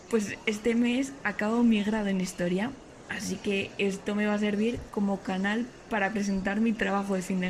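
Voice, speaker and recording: An actress playing an eager, chatty young history student from Spain, recording an informal travel vlog in a podcast drama. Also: female voice, medium pitch, close to an everyday voice, poor audio. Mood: excited. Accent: Spanish from Spain. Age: young adult. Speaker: podcast character, student vlogger. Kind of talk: acted first-person vlog monologue. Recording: clear background noise, ambient sound.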